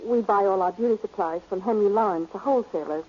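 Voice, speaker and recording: A woman's voice: medium pitch, fast, a little hiss or hum, close to an everyday voice. Earnest woman acting on a muffled old radio recording. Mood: polite, uneasy. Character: earnest, polite female beauty parlor cashier and witness. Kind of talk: 1940s radio drama acting.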